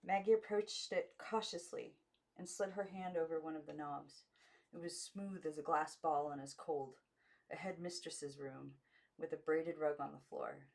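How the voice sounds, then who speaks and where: A woman's voice: low voice, steady tone, normal speed, gravelly. A woman narrating a story as an amateur audiobook reader.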